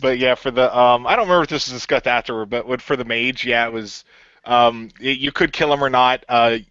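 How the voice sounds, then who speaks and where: A man's voice: medium pitch, brisk, a bit bright, smooth, no room sound. A man, the creator and game master of an audio drama, chatting casually, rambling with many fillers and laughing often. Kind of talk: casual group chat. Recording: dull lo-fi, dry sound.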